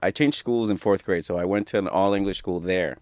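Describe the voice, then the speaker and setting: A man from Puerto Rico talks in a fairly low voice, trading stories as a guest on a podcast. He moves easily between English and Spanish. The sound is dry and close to the mic. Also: brisk, darkish tone, dry recording. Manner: bilingual, switches into Spanish. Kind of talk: casual chat.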